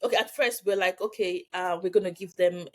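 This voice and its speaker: A woman's voice: on the low side, fairly even intonation, brisk. A woman with a Nigerian accent, a guest in a casual interview, chatty and often checking in with the listener. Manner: chatty, repeats words, checks in with "okay?". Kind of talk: casual conversation.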